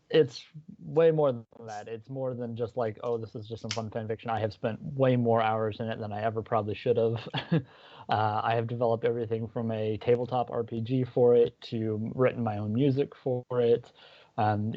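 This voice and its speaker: A man's voice: medium pitch, no echo, dull audio. A man introducing himself as a podcast guest, talking casually with lots of ums and uhs.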